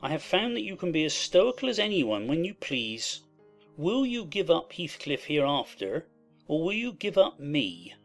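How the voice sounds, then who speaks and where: A man playing a proud, sorrowful gentleman husband in a dramatized classic novel, formal, despondent and insistent. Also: a little high, very animated, moderate pace, vocal fry, faint music behind. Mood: despondent, firm.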